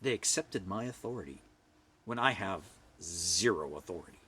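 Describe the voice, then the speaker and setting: A middle-aged man from Canada with a loud voice, ranting bluntly to camera and swinging from hushed whispers to forceful outbursts. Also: deep voice, lively intonation, normal speed, slightly rough. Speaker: vlogger. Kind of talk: personal rant to camera.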